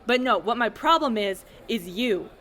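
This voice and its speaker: A woman's voice: a little high, lively intonation, normal speed, noisy background, natural voice. A woman playing a hostile, suspicious town lawkeeper in a post-apocalyptic audio drama, with a sneering, authoritative manner. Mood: accusing.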